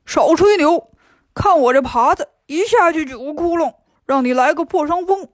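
Woman doing a high, whiny pig-monk. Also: no room sound, clean studio sound, natural voice. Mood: cocky. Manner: whiny, indignant and fast-talking. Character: clumsy boastful pig-monk, adult male.

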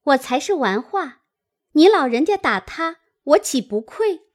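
A woman's voice: high voice, very animated, measured pace, voice pushed up. A woman voicing an outspoken, pert young maidservant in a storytelling reading. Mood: teasing, pleading. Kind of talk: dramatised classic novel storytelling.

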